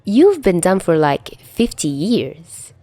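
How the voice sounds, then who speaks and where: A cheeky, easygoing teenage boy in an audiobook, played by a woman. The voice is soft and mellow with playful, informal phrasing, recorded close and dry. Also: lowish voice, very animated, takes it slowly, no echo, exaggerated voice.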